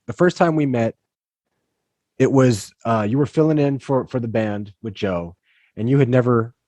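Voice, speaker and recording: A man's voice: medium pitch, moderate pace, dark tone, no room sound. A man hosting a casual interview podcast, talking loosely with lots of restarts and fillers. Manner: rambling, many restarts and fillers. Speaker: podcast host.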